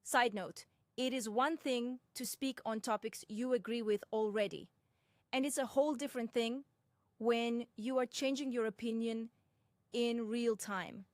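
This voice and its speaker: A young woman tells her own story in a fairly high voice. She speaks slowly and deliberately and drops in candid side remarks. The recording sounds dry and close. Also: fairly even intonation, no echo. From Russia. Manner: measured, deliberate, with frank asides. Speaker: personal commentator. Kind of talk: first-person reflective narration.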